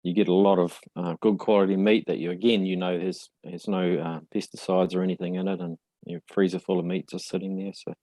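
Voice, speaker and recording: A man in his forties with a New Zealand accent, a guest speaking in a reflective, halting way with many fillers. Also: sits low, little pitch movement, warm tone, no room sound. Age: 40s. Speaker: podcast guest. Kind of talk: casual interview conversation.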